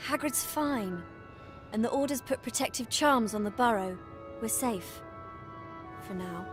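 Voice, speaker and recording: A woman's voice: a little high, expressive, unhurried. A woman playing an earnest, caring, bossy teenage witch in a video game. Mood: concerned. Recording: loud game music behind.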